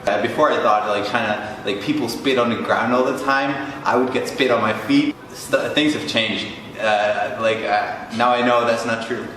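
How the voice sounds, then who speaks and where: A man's voice: medium pitch, normal speed, a bit bright. A young man from Holland, giving casual interview answers in English. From Netherlands. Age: young adult. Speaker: exchange student. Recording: very echoey, distant, music behind. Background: background music.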